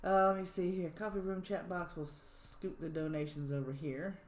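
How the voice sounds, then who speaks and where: A woman's voice: on the low side, steady tone, moderate pace. A woman hosting a livestream, chatting casually, giggling and thinking aloud as she reads background notes. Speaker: livestream host. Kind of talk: casual chat. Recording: roomy echo.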